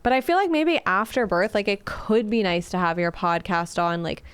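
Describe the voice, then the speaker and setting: A woman's voice: mid-range voice, moderate pace, smooth, no echo. A woman guesting on a podcast as a comedian, rambling casually with lots of "like". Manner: rambling, full of "like" and restarts. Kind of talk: casual chat.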